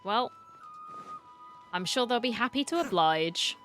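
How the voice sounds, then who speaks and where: A woman's voice: fairly high pitch, animated, unhurried, a bit bright, soft background music. A woman streamer giving casual, chatty reaction commentary on a game story, with wry asides.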